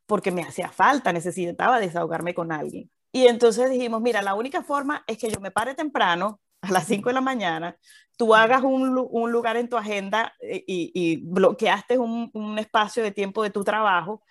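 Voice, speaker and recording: A woman's voice: mid-range voice, normal speed, dry recording. A Venezuelan woman in her thirties co-hosting a podcast, chatting casually with many fillers and restarts. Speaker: podcast co-host.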